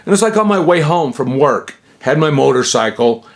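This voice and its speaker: A man's voice: on the high side, lively intonation, moderate pace, faint music behind. A man in his sixties from Utah, telling a personal story in a digressive, chuckling way, breaking off into asides and slang. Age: 60s. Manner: digressive, self-interrupting, chuckling. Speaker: vlogger.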